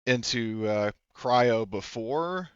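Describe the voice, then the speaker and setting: A man's voice: medium pitch, lively intonation, takes it slowly, clean tone, no room sound. A man explaining his reasoning in a casual tabletop game discussion, often qualifying his points. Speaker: tabletop roleplaying game participant. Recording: slightly dull, lo-fi voice audio.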